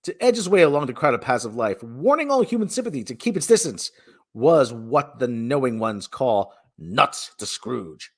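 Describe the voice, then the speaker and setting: Male narrator for a classic tale, theatrical and emphatic, mid-range voice that swings widely in pitch. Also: very animated, normal speed. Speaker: reader and streamer. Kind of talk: dramatic storybook narration.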